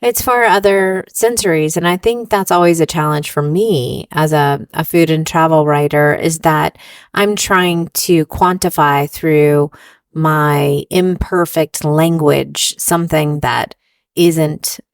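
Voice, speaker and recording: A woman's voice: deep voice, fairly even intonation, slow, smooth, no echo, clean studio sound. A woman interviewing a guest as a food and travel writer, asking thoughtful, reflective questions. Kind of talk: conversational interview.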